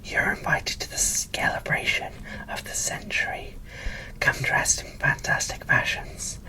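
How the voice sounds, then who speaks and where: A woman from the UK whispering softly and breathily as she hosts an ASMR unboxing, in an unhurried way. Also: recorded from a distance, low-quality recording. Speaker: host of an ASMR doll unboxing channel.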